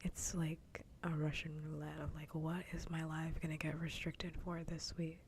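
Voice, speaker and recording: A woman streamer chatting casually in a soft, breathy whisper, rambling along in ASMR style on a livestream. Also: low-pitched, little pitch movement, normal speed, a little hiss or hum. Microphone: close ASMR microphone.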